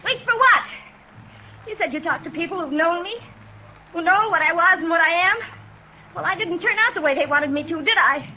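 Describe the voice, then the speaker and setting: Desperate, high-voiced young woman, old radio. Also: normal speed, full character voice, poor audio. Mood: frantic, angry. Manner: emotional, dramatic delivery with plaintive phrasing. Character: desperate, bitter, fearful young woman on a ledge. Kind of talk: 1950s radio drama acting. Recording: vintage radio recording with rain noise. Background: steady rain sounds.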